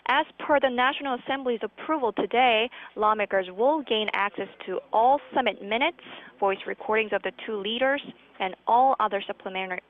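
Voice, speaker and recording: A woman's voice: fairly high pitch, a little fast. A woman political correspondent delivering a live news report over the telephone. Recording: tinny narrowband phone line.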